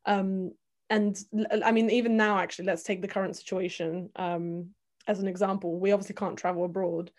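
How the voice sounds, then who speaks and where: A woman's voice: mid-range voice, flat delivery, brisk, a little mellow. A young woman in casual conversation, talking thoughtfully with frequent fillers and restarts. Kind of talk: casual chat.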